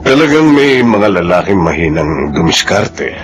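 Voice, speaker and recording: Deep smooth-talking flirt, music bed, radio play. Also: male voice, normal speed. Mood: seductive. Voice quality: coaxing and flattering. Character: smooth-talking seducer Will John. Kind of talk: radio drama acting.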